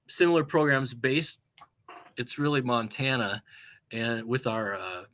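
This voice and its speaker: A man, a music teacher, presenting a webinar in a halting, unscripted way, pausing for words and restarting phrases over a video call. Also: medium pitch, a bit bright. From United States. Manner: halting, many fillers and restarts, searching for words.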